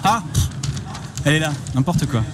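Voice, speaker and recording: Brief: thirties Spanish-born comedian, higher lively voice, playful and teasing, loud music underneath. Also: male voice, moderate pace, very noisy. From Spain. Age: 30s. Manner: playful, animated, self-mocking. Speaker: comedian and offbeat reporter, contest candidate. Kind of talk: live comic chronicle on radio. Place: radio fair stand, live duplex. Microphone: headset with headphones.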